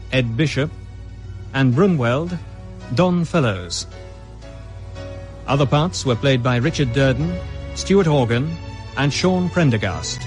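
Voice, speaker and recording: A man announcing a radio drama in scripted narration, reading credits and scene settings. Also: mid-range voice.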